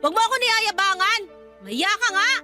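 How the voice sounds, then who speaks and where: A woman's voice: very high-pitched, expressive, brisk, over loud music. A woman playing a bitter, domineering mother in a Filipino radio drama, scornful and accusing as she nags her daughter. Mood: scornful, reproachful.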